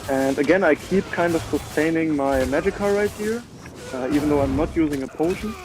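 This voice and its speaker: A man's voice: fairly high pitch, a little fast, music in the background. German-accented man narrating his gameplay. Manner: relaxed, chatty, many filler words. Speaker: gamer. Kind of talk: casual gameplay commentary.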